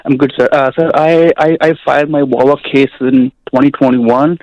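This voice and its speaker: A man calling in to a show who speaks deferentially and haltingly, addressing the host as sir again and again. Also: medium pitch, level tone, highs cut off, crowd noise. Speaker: caller.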